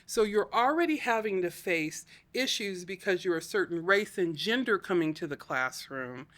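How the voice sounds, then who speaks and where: A middle-aged woman, a scholar and podcast co-host, explaining her views in a measured, academic way during a casual conversation. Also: on the low side, animated, a bit bright.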